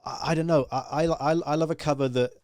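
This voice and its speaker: A man's voice: on the high side, fairly even intonation, quick pace, darkish tone, no room sound, clean studio sound. Rambling man hosting an interview. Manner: talkative, rambling, emphatic. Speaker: podcast host. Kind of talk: casual interview over video call. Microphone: video call.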